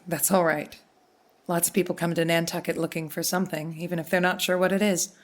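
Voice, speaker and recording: A woman's voice: fairly low pitch, level tone, fairly quick, close to an everyday voice. An actress playing a practical, kindly guesthouse keeper and mother in an audio drama, with matter-of-fact, obliging, naturalistic delivery. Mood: reassuring. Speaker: guesthouse landlady.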